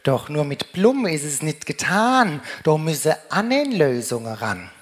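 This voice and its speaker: A woman's voice: low-pitched, sing-song, measured pace. A woman with a Rhine-Hessian German accent delivering a humorous carnival speech in dialect, declaimed in sing-song rhyming couplets. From Germany, Rheingau region. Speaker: carnival speaker.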